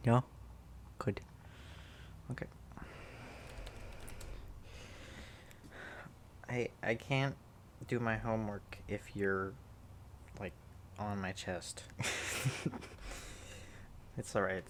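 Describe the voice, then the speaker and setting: A man playing an affectionate, sleepy student in an intimate ASMR roleplay, soft, teasing and halting as he dotes on a pet. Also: on the low side, level tone, slight background noise. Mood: playful, affectionate. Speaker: audio roleplay performer.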